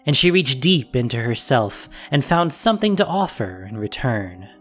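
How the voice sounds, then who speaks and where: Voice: mid-range voice, very animated, normal speed, faint music behind. A narrator reading a scripted fantasy story in a dramatic audio drama style.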